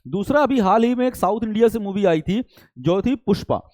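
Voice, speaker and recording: High-pitched man teaching a lesson. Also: animated, brisk, darkish tone, no echo, clean studio sound. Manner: didactic, repeats key points and asks rhetorical questions. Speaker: exam-prep teacher. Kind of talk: explanatory lecture to students.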